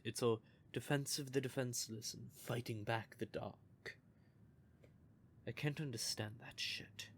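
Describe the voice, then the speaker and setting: A man's voice: mid-range voice, fairly bright tone, slight background noise, close to an everyday voice. A man playing a bitter, sardonic male vampire who curses and mocks. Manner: cursing, mocking, gravelly. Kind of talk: audio drama roleplay.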